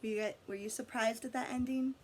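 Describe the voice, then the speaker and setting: Woman, slightly high voice, children's storyteller, expressive read-aloud, guides young listeners, noisy recording. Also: fairly quick.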